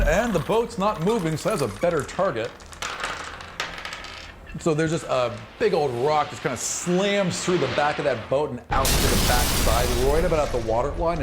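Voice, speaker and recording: A man narrating a tabletop fantasy game as game master, improvising in an animated way with spoken sound effects. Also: a little high, normal speed, heavy background noise.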